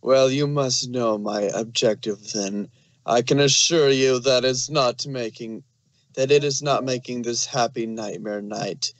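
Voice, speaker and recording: A man voicing a haughty, menacing female dark pony villain, formal and grandly threatening, in an amateur fan audio drama. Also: medium pitch, fairly even intonation, measured pace, no room sound, natural voice, poor audio. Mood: gloating, threatening.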